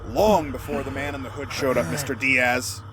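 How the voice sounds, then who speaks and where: An actor playing a coldly disappointed crime boss in an audio drama, formal and condescending, speaking in measured threats. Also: male voice, medium pitch, steady tone, background noise, natural voice. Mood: measured menace.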